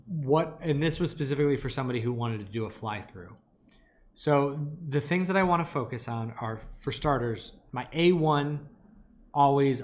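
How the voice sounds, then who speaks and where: A man giving an informal online lecture as an instructor, his voice a little raspy and tired from talking, explaining things with emphasis on key points. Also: medium pitch. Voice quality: slightly raspy. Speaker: animation lighting instructor.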